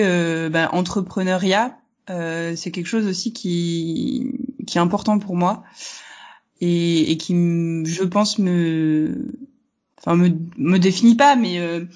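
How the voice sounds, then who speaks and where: A woman's voice: fairly low pitch, darkish tone. Hesitant young woman with a faint northern French accent. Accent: slight northern French. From Hauts-de-France, northern France. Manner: hesitant, many fillers, laughs easily. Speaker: guest entrepreneur. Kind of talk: casual interview answers.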